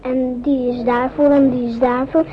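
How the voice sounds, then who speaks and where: High-pitched eager child in radio play female performer. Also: moderate pace, lightly acted. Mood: proud, eager. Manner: simple, excited childlike phrasing. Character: eager, chattering young child. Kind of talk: radio drama acting. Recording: dull, noisy old radio play recording.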